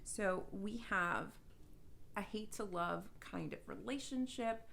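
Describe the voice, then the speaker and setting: A woman's voice: mid-range voice, slow pace, creaky, a bit bright, a little hiss or hum. A woman chatting casually to viewers as a book reviewer, informal, gushing and emphatic, with some self-deprecation. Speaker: book reviewer, video host.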